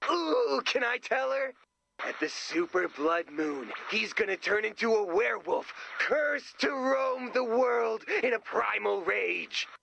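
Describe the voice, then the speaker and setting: A man's voice: sits high, animated, slow, vocal fry, sharp tone, a little hiss or hum, exaggerated voice, low-quality recording. An actor playing an eager, smug, nerdy young male sidekick in a comic video game style. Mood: smug, gleeful. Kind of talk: animated video game voice acting.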